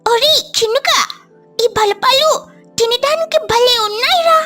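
A woman voicing a small, chatty, greedy girl sparrow for a children's cartoon story, in a squeaky childlike voice. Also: a little slow, bright, forceful tone, faint music behind, exaggerated voice. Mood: delighted, curious.

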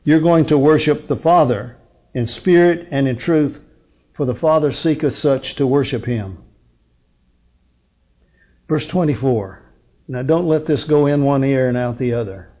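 A man in his sixties preaching as a pastor, teaching from scripture in a deliberate, emphatic manner with rhetorical questions and pauses. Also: mid-range voice, mellow. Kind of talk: sermon, expository Bible teaching from a lectern. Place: indoor lectern on a curtained stage.

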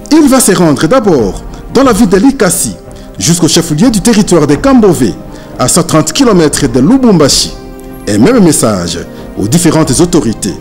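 A man's voice: fairly high pitch, a little mellow. A man reading scripted news narration as a reporter.

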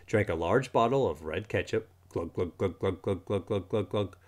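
A man reading a children's storybook aloud in an expressive manner, acting out the words as he goes. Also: low-pitched, moderate pace. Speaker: storybook reader. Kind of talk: children's book read-aloud.

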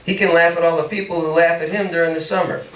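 A man's voice: fairly high pitch, little pitch movement, fast, fairly bright tone, a little hiss or hum, close to an everyday voice. A man voicing a besotted young suitor, reading a story aloud in a teasing, dreamy manner. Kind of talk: read-aloud story dialogue. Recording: echoey room, mic at a distance.

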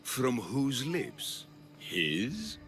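An actor playing a scheming, grandiose populist politician of ancient Athens in video game dialogue, with a gravelly, oratorical delivery. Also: male voice, medium pitch, huge pitch swings, drawn-out words, fairly bright tone, a little hiss or hum, exaggerated voice. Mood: insinuating.

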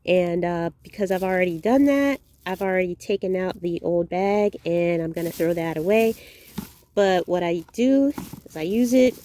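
A woman in her forties vlogging casually from inside her parked van, chatting in an unhurried way and thinking aloud with fillers. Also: lowish voice, warm tone. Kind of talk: casual vlog narration.

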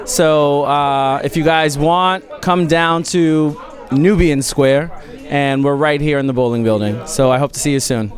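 A man in his forties, a boutique owner, speaking in a relaxed, friendly way into a handheld mic at an indoor event. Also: on the high side, measured pace. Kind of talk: event interview testimonial.

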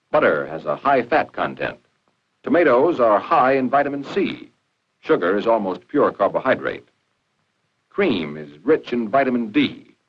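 A man's voice: medium pitch, breathy edge. An American man reads scripted 1940s educational film narration in a plain-spoken, folksy, instructive manner. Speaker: narrator of an army training film. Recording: dull lo-fi 1940s film soundtrack.

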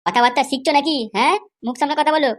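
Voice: high-pitched, racing, lightly acted. A performer voicing a hot-tempered, foul-mouthed father and husband who blusters and scolds in a comedy cartoon. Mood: annoyed.

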